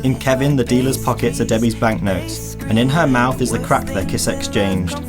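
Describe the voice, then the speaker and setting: A man's voice: medium pitch, moderate pace. A man reading poetic scripted narration for a radio play. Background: music with plucked guitar.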